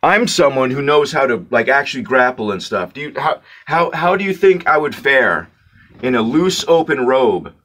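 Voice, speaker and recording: A man's voice: medium pitch, expressive, normal speed, a bit bright. A young man ranting casually to his viewers, exasperated and mocking, with many restarts and repeated words for emphasis. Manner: frequent restarts and fillers. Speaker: vlogger. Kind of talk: casual rant to camera.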